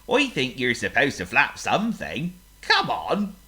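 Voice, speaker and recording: A friendly, slow-thinking pig from a children's story talks in a high, cheerful voice. A woman puts him on while reading aloud. He draws out his words ponderously, and his pitch swings up and down a great deal. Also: huge pitch swings, a bit bright, exaggerated voice.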